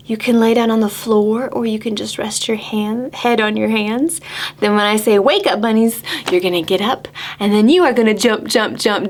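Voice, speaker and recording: A woman hosting storytime for preschoolers, speaking playfully in simple instructions to small children. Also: a little high. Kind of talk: sing-song storytime for young children.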